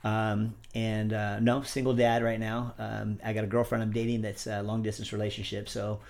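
A man's voice: lowish voice, little pitch movement, normal speed, creaky, a little mellow. Man, forties, podcast guest, easygoing, filler-heavy casual talk. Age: 40s. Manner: easygoing, many fillers and uh's. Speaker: podcast guest, gym owner and trainer. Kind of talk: casual interview chat.

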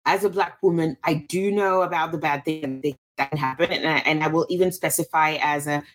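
A woman's voice: sits low, steady tone, a little fast. A woman from Cameroon, a guest in an interview, answering thoughtfully with frequent restarts and fillers.

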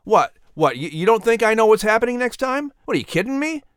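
A man's voice: high-pitched, big pitch swings, a little fast, fairly bright tone, smooth, dry recording, clean studio sound. A man working as announcer and narrator, speaking in a scripted storytelling style for an audio show.

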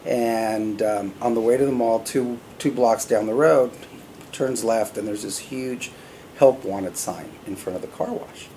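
A middle-aged man with a fairly low voice, chatting easily as an interview guest. He tells his stories loosely, with plenty of "uh" and "you know". Faint noise sits under his voice. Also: measured pace. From California, US. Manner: relaxed and conversational, with frequent "uh" and "you know". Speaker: guest, company CEO. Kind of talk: casual interview storytelling.

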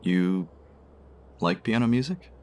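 An actor playing a shy, smitten diner customer in a romance audio drama, speaking in a hesitant, bashful way. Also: male voice, deep voice, animated, takes it slowly, darkish tone. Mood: shy, nervous.

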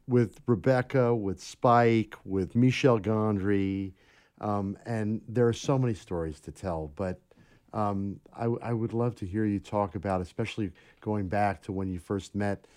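A man interviewing a filmmaker, halting and repeating words as he asks questions and offers supportive backchannels. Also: lowish voice, warm tone, dry recording, studio quality. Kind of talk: interview chat.

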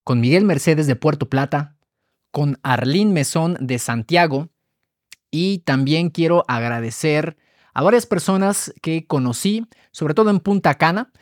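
A man hosting a health podcast, reading scripted narration in a measured, explanatory way and listing items one by one. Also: a little high, dry recording, studio quality. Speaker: podcast host.

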